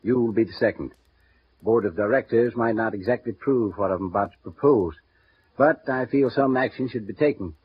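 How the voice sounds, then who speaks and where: An actor playing a smooth, guarded male insurance executive who speaks in measured, confiding tones, in a 1950s radio drama. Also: lowish voice. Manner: measured, confiding, halting with small pauses. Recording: muffled vintage radio broadcast with faint hiss.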